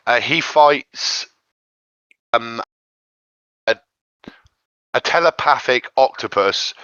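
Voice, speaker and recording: A man speaking as a guest in a casual discussion, explaining his points in a measured, halting way over lo-fi audio. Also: medium pitch, pushed and bright, clean tone, no echo. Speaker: guest panelist.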